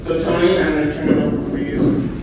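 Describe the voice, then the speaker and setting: A woman's voice: low-pitched, level tone, fast, distant mic. A woman, president of a homeowners association, formally opening a meeting and reading out names with a few ums. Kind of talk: chairing a meeting. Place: community meeting room. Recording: echoey room from afar, noisy.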